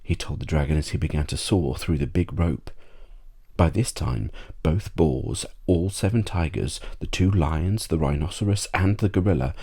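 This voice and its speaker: A man narrating a bedtime story as ASMR, with a measured storytelling delivery. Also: a bass voice, warm tone, slightly rough. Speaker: audiobook reader.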